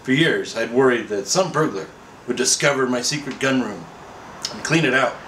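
A middle-aged man in a folksy, unhurried manner, chatting casually and reading a story aloud. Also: mid-range voice, fairly bright tone. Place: small indoor room. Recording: roomy echo, faint background noise.

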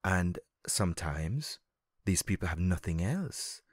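A man hosting his own podcast, talking in a relaxed conversational way with lively emphatic rises. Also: slow pace, slightly rough, dry recording, clean studio sound. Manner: animated, rising to high emphatic tones. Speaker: podcast host.

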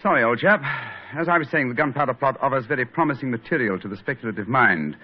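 An actor playing a brilliant, self-assured detective, lecturing in 1940s radio acting. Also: male voice, mid-range voice, expressive, fast talker, close to an everyday voice. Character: brilliant, brisk English detective, male, middle-aged. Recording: muffled 1940s radio broadcast.

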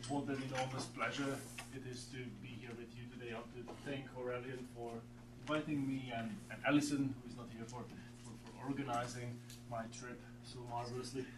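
A middle-aged man with a Slovak accent delivers an academic talk in a seminar room, stumbling over repeated words as he finds his way. His voice sits at an ordinary pitch for a man. It is caught from a distance, with room echo and a constant hum. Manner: halting, repeats words often while searching. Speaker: guest lecturer. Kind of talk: academic lecture. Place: seminar room with a long table. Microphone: far room camera. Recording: echoey room from far off, background hum.

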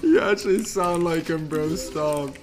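A man's voice: a little high, a little slow. A young man chatting casually as he streams a game, teasing and swearing in slang. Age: young adult. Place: indoor room at a desk. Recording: keyboard clatter, game noise. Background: typing, clicks, game sounds.

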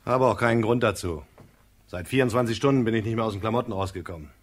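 An actor playing a weary, gruff police lieutenant in a radio crime drama. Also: male voice, on the low side, fast talker. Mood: weary, grumbling.

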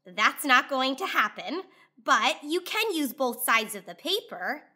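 A woman's voice: high voice, big pitch swings, a little slow, sharp tone, full character voice. A woman voicing a kind female fox schoolteacher from a storybook, patient and emphatic.

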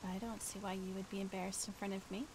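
A woman voicing a patient, reassuring woman friend in a fantasy game roleplay, speaking haltingly and gently. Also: medium pitch, level tone, natural voice, poor audio. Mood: puzzled. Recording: clear rain noise behind voice.

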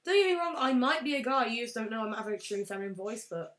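Brit girl yelling over a game, kinda high and bright, echoey room. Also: quick pace. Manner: excitable, shouts and squeals at wins and losses. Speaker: let's play host. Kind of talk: casual gameplay commentary. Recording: roomy echo.

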